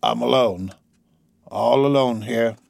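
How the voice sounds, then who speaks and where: A man voicing a lonely, excitable little old man in an audiobook, with a thin, shaky, halting delivery. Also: mid-range voice, no room sound, pitched above the performer's own voice. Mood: forlorn. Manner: halting, stammering, repeating words.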